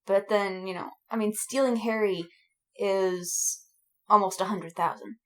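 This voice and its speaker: A woman's voice: mid-range voice, steady tone, unhurried, fairly bright tone. A woman in casual podcast chat as a co-host, informal and slangy, with an enthusiastic way of talking.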